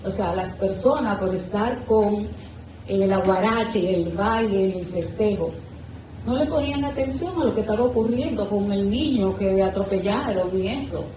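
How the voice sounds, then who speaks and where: A woman's voice: medium pitch, normal speed, warm tone. A woman speaking hesitantly in an informal group discussion. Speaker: book club participant. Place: echoey room at a live group gathering. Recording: distant mic, echoey noisy room.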